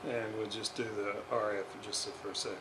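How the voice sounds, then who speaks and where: A man giving an informal hands-on repair demonstration, thinking aloud in a halting way with long pauses. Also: fairly low pitch, little pitch movement. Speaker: hobbyist radio restorer presenting his repair. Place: indoors at a repair workbench. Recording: roomy, noisy amateur workshop recording.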